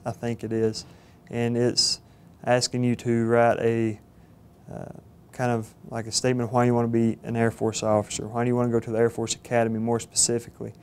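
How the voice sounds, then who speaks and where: Earnest young Georgia man, flat delivery. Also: medium pitch, clear, resonant tone. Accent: Southern US, Georgia. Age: 20s. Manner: earnest, halting with frequent fillers. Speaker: cadet candidate. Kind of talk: interview testimonial. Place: studio with plain backdrop. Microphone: lapel mic.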